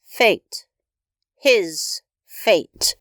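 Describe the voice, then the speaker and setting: An actress voicing a solemn, regal spirit of fate in a poetic stage drama, with stately delivery. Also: female voice, mid-range voice, animated, very slow, fairly bright tone, no room sound, studio quality. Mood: stern. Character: solemn, regal crowned Fate, grieving shade.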